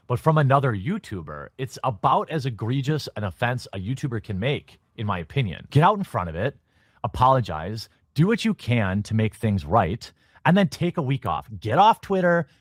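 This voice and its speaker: A man's voice: medium pitch, big pitch swings, moderate pace, no echo, clean studio sound. A man in a played video clip firmly scolding another YouTuber and telling him to apologize. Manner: firm, scolding.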